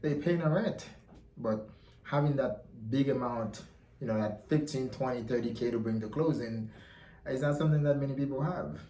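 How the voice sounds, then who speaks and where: A man answering interview questions in a confident, relaxed way. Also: medium pitch, moderate pace, a little hiss or hum. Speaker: guest, mortgage company founder. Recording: echoey room with faint ambience.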